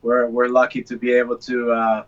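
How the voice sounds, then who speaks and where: A man chatting casually as an interview guest, hesitant and halting as he feels for his words. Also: mid-range voice, monotone, a bit bright. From born in Bulgaria, raised in Canada from age six. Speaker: interview guest, small business owner. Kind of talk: casual chat. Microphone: video call. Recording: dull, lo-fi video call.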